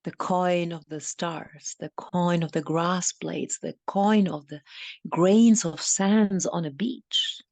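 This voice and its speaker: Slow, creaky-voiced woman guiding a meditation. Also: lowish voice, steady tone, darkish tone, no echo. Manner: halting, deliberate phrasing with repeated lines. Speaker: meditation guide speaking as a channeled ancient money healer. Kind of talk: guided meditation, channeling monologue.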